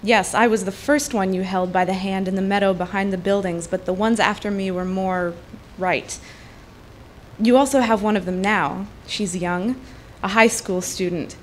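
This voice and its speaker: A woman reading literary fiction aloud at a live event, in deliberate, fluent narration with pauses. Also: medium pitch. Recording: live event with background noise.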